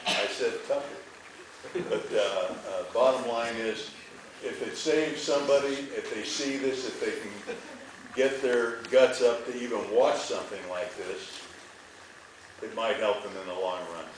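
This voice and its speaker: A man's voice: medium pitch, unhurried. A man from a film's cast making folksy, rambling, unscripted remarks to an audience. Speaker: film cast member in an audience Q&A. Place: movie theater stage Q&A. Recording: echoey theater, distant mic, faint noise.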